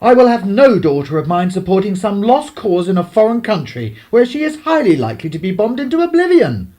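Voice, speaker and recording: A man's voice: high voice, very animated, fairly quick, warm tone. An actor playing a stern, domineering middle-aged English clergyman father in a radio drama, pompous and scornful. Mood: exasperated.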